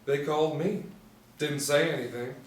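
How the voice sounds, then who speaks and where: A man's voice: a little high, moderate pace, close to an everyday voice. A man playing a smug, menacing crime henchman at a script table read. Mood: smug, threatening. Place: small room indoors. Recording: roomy, distant mic, faint noise.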